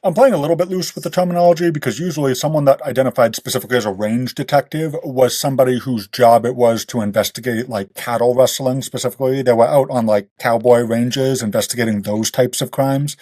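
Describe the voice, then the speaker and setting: A man's voice: mid-range voice, quick pace, dark tone, no room sound, studio quality. A man speaking as a guest author in a casual podcast interview, explaining things in detail in a conversational way. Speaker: guest author of western fiction.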